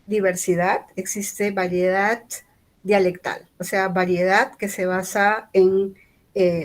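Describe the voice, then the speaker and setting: A woman from Peru with a Lima accent, giving a university lecture in an explanatory way, often checking in with the audience through tag questions. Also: medium pitch. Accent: Lima Spanish.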